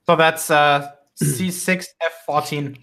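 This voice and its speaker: A man's voice: on the high side, steady tone, slow pace, creaky, fairly bright tone. A man hosting a casual online voice chat, curious and matter-of-fact. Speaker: host.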